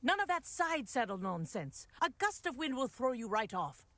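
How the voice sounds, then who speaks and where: A woman's voice: fairly high pitch, very animated, moderate pace, bright, forceful tone, no echo. A woman playing a strict, proper flying instructor at a wizard school in a video game, speaking formally and firmly. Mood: strict, instructive. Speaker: flying teacher.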